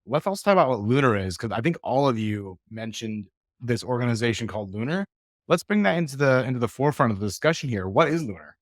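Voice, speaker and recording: A man's voice: medium pitch, very animated, quick pace, no echo, studio quality. Enthusiastic male podcast host. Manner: enthusiastic, encouraging. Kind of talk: casual podcast interview.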